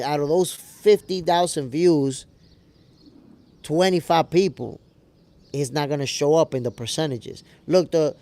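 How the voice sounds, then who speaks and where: An American man with a gravelly voice hosting a casual livestream, talking to viewers in an animated, slangy way. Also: a little high, no room sound. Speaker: host of a boxing show livestream, reading viewer comments. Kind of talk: casual livestream chat with audience.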